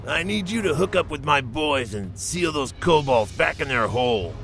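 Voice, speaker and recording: A man's voice: fairly high pitch, expressive, normal speed, a bit bright, faint music behind. A man voicing a brash goblin chief engineer, talking like a chummy hustler.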